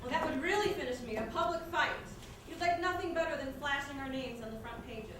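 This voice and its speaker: Woman playing high-voiced scornful dramatic lady. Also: fast talker, close to an everyday voice. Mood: indignant. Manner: indignant, theatrical. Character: exasperated, dramatic woman scorning her old lover. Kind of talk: comic stage acting, readers theatre. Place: indoor stage or hall. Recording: echoey hall, distant mic, clear noise.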